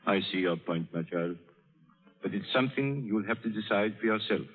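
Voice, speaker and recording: An actor playing a worldly, avuncular older English lord in a 1930s radio drama style. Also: male voice, on the low side, normal speed, soft background music, natural voice. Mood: gentle, worldly. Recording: vintage radio broadcast, thin and tinny.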